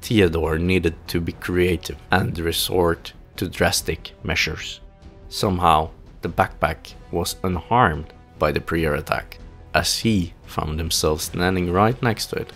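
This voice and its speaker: A man with a very low voice narrates a fantasy tale. His delivery is solemn and theatrical, each phrase placed for drama, with music underneath. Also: measured pace, a bit bright. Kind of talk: dramatic scripted fantasy audio play narration.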